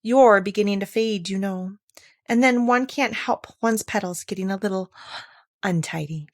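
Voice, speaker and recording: A woman's voice: medium pitch, moderate pace, no echo, clean studio sound, lightly acted. A woman voicing a haughty, blunt rose in a storybook, with a snooty and severe manner. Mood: patronizing. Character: haughty, blunt, condescending female talking rose flower.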